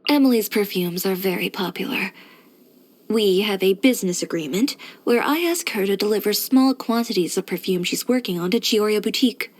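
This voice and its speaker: A woman acting as a self-assured young boutique tailor from a video game. She is blunt and headstrong yet composed, speaking in a mid-pitched voice with a matter-of-fact, dryly confident delivery. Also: a little fast, lightly acted. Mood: composed, approving. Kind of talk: video game character voice lines.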